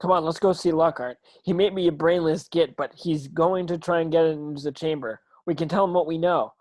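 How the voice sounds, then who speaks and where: Woman as a boy wizard hero, low voice, earnest n determined, script read. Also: steady tone, a little fast, darkish tone. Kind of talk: amateur table read of a film script.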